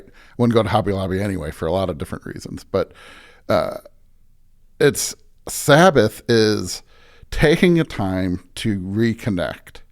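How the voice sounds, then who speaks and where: Man, pastor, lower-pitched voice, reflective podcast conversation, halting phrasing, frequent check-ins. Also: creaky. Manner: halting, restarts thoughts, often checks in with "right?".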